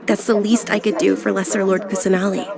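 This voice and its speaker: A woman's voice: medium pitch, little pitch movement, a little fast, creaky, natural voice. A woman voicing an earnest, bookish young noblewoman. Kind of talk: video game voice acting. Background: game music.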